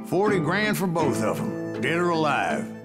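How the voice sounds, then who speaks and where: An actor playing a grizzled old American bounty hunter in a Western game, telling boastful tales in a folksy, gravelly voice. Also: male voice, on the high side, wildly swooping pitch, moderate pace, loud music behind the voice. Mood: boastful storytelling. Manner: folksy, boastful storyteller drawl. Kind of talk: dramatic Western game narration.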